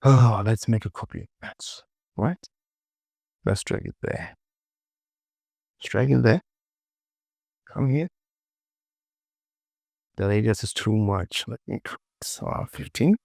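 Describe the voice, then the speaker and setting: A man gives a casual software tutorial in a low, gravelly voice. He talks slowly, in short muttered phrases that he often repeats to himself. The sound is dry and close to a plain voice-over. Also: no echo. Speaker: tutorial host. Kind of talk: casual screen-recorded software tutorial narration.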